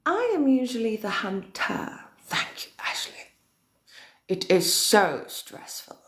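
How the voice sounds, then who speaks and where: A woman playing a centuries-old villainous queen of darkness, chatting with viewers in a slow, theatrical, often whispered delivery. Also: mid-range voice, big pitch swings. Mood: sinister, theatrical. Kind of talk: in-character chat with viewers. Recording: roomy echo.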